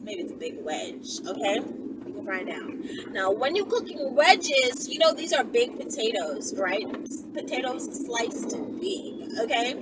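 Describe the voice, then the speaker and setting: High-pitched woman, distant and very noisy. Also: sing-song, a little slow. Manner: emphatic, checks in with "okay" and "right". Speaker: host of an online channel. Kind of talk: casual rambling storytime monologue. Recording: distant mic, loud vehicle-like ambient noise.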